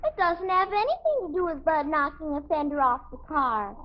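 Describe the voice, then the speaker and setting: A woman's voice: high-pitched, animated, normal speed. An actress playing a chirpy, literal-minded little girl who earnestly recites things in a 1950s radio sitcom. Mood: earnest, informative. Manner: earnest, recites facts primly. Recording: muffled old radio sitcom recording.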